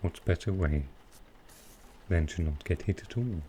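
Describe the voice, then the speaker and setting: Guy acting as a super deep mellow villain flirting softly, asmr roleplay, bit of background noise. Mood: casual, suggestive. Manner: teasing, unhurried, softly suggestive. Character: smooth, flirtatious male supervillain courting his tailor.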